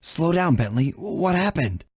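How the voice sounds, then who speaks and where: An actor playing a confident young raccoon thief in a video game, casual and unflustered, with an American accent. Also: male voice, a little high, very animated, a little slow, darkish tone, slightly rough, no room sound, clean studio sound, heavily acted. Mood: reassuring.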